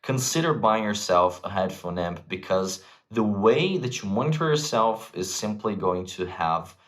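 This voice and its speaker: A man's voice: fairly low pitch, animated, moderate pace, a bit bright. A man talking informally and enthusiastically, like a vlog host giving casual music gear recommendations to viewers. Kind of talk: casual gear advice to camera.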